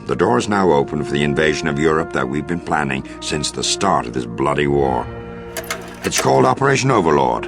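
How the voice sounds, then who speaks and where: A very low, commanding American officer, delivers a formal mission briefing in a war video game. His speech is measured and authoritative, with prominent music underneath. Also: vocal fry, exaggerated voice.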